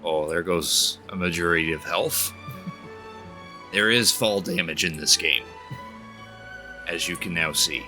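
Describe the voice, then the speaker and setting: A man streaming a game, reading its story text aloud in a measured way and commenting on his play. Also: low voice, pushed and bright, soft background music.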